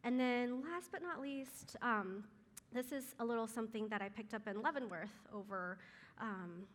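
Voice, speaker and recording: A woman's voice: a little high, normal speed, long reverb tail. A woman whose first language is Chinese, talking casually to a church group in an earnest, hesitant way with many ums. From Taiwan or China, raised in Seattle area. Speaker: guest speaker at a church moms group. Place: church meeting room.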